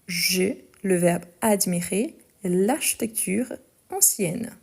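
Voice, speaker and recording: A woman teaching a live online French lesson, speaking slowly and carefully, repeating each sentence and spelling out the verb endings. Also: mid-range voice, expressive, creaky, darkish tone, breathy edge.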